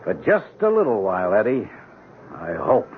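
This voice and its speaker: A man's voice: lowish voice, huge pitch swings, normal speed, over loud music. An actor playing a hard-nosed American police lieutenant in a radio drama, curt, clipped and pressing with his questions. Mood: resigned. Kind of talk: 1970s radio drama acting. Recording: tinny, hissy 1970s radio broadcast.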